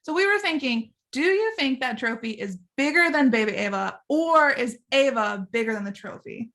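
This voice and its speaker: A woman's voice: high-pitched, animated, normal speed, a bit bright. A woman, a learning designer, chatting with students in a bubbly, chatty way and laughing often. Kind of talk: casual class chat with students.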